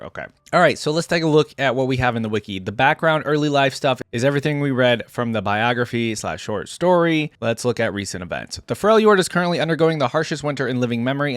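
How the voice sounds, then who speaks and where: A man's voice: medium pitch, dry recording, clean studio sound. A man hosting a reaction video, speaking informally as an opinionated fan, moving between casual commentary and reading aloud.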